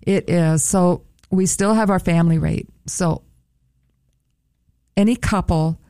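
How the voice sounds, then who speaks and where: Woman, low mellow voice, gravelly edges, upbeat museum promoter, dry studio sound. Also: slow pace, dry recording. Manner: upbeat, promotional, careful over a foreign word. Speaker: museum staff guest. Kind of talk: radio interview chat.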